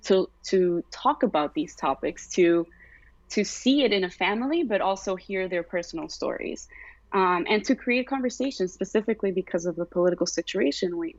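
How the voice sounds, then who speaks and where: A woman's voice: lowish voice, no echo, highs cut off. A young American woman, an artist being interviewed, speaking casually with many fillers and restarts. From United States.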